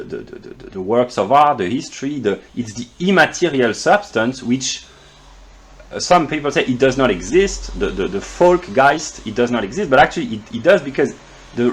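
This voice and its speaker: A man with a French accent speaks English, his second language, in an unscripted, measured philosophical talk. His voice sits in an ordinary middle pitch, and he often stalls and repeats small words as he reaches for the right term. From France. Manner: halting, stammers and repeats words while searching for terms; measured, explanatory, professorial. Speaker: philosophy commentator.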